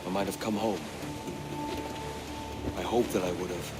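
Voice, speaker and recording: A man playing a gentle, wry sea captain. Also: sits low, moderate pace, loud music behind the voice, lightly acted. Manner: calm, warm, gently teasing. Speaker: ship captain. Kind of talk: video game cutscene acting. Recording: loud wind and ocean behind. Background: wind and waves at sea.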